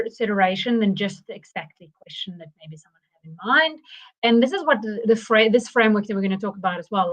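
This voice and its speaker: A woman's voice: medium pitch, fairly bright tone, rough recording. A woman with a South African accent, presenting a live marketing webinar in a chatty way, with many restarts and fillers.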